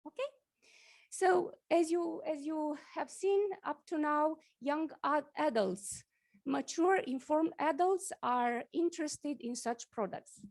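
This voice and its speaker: High-voiced woman presenting at a conference. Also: a little mellow. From Romania. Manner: halting, many fillers and restarts, asks for next slide. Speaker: conference speaker. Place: conference hall.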